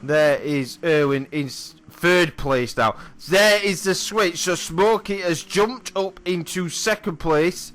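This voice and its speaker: A man with a hoarse, croaky voice commentating a replay of his own sim race, chatty and often apologising and correcting himself. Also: on the high side, slow pace, hardly any pauses, a bit bright. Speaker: sim racing commentator and driver.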